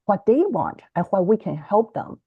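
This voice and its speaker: Woman, Chinese native, educator guest; lively, explanatory, self-correcting delivery; dry, slightly muffled call sound. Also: medium pitch, normal speed, slightly rough, dry recording. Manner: animated, self-correcting, teacherly. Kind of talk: explanatory interview talk. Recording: dry, slightly dull lo-fi audio.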